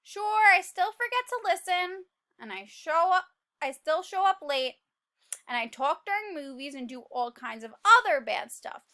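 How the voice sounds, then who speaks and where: A woman's voice: very high voice, expressive, normal speed, sharp tone, exaggerated voice. A woman voicing a tiny, gloomy male sunflower seed who is trying to reform, in a dramatic, confessional storybook read-aloud. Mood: hopeful, earnest. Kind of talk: children's picture book read-aloud.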